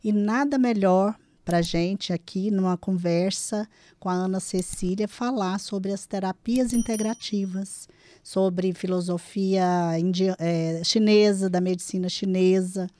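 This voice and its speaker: A woman's voice: medium pitch, dark tone, dry recording, studio quality. A woman with a Brazilian accent hosting a podcast in a conversational interview style. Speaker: podcast host interviewing a guest.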